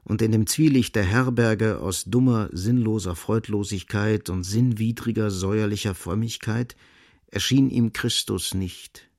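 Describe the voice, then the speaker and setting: A man playing the sombre narrator of a literary radio drama, reading with grave, measured and deliberate phrasing. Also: on the low side, darkish tone, no echo, studio quality. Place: studio.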